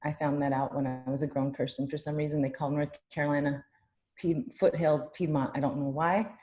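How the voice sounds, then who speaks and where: Low-voiced woman on a video call. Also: fairly even intonation, brisk, dark tone. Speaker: dancer and choreographer. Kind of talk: casual video call chat.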